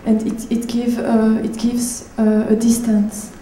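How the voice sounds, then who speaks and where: A woman's voice: a little high, monotone, takes it slowly, warm tone. A woman from Switzerland, answering on a panel and hesitantly searching for words. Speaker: writer, panel guest. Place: public event room. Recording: echoey room, faint noise.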